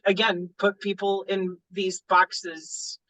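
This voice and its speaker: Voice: mid-range voice, little pitch movement, slow, bright, forceful tone, low-quality recording. A book club member in casual discussion who laughs often, cracks crude jokes and swears.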